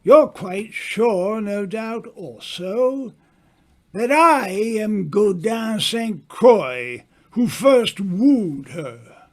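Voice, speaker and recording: A man acting a slow, grandiloquent older writer. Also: high voice, lively intonation, darkish tone, full character voice. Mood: dramatic, teasing. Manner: grandiloquent, deliberate, dramatic pauses. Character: worldly, self-assured older male writer, theatrical and teasing. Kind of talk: old-time radio mystery acting.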